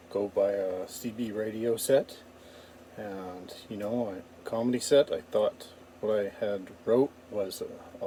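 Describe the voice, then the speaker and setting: Low, mellow Canadian man chatting casually. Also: a little hiss or hum. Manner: halting, rambling. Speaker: comedian vlogger. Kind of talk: casual unscripted vlog recap.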